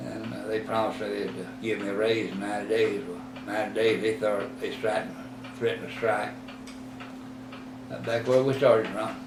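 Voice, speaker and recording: A man in his eighties, slowly recalling his past in an interview, rambling and halting with many fillers and self-corrections. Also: fairly low pitch, steady tone. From Texas. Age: 80s. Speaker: oral history interviewee. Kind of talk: reminiscing in a recorded interview. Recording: roomy, distant mic with clear background noise.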